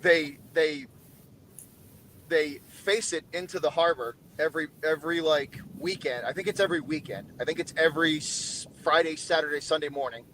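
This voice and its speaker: A man's voice: fairly high pitch, normal speed, fairly bright tone, a little hiss or hum, rough recording. A man from Boston with a Boston accent, chatting casually on a group stream, rambling and repeating himself. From Boston, Massachusetts.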